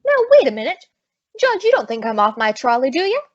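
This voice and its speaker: A high-pitched, brash young woman played by an actress in an old-time radio comedy. She is plucky and slangy, quick to bristle with indignation. The recording is a little dull and lo-fi. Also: sing-song. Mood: indignant.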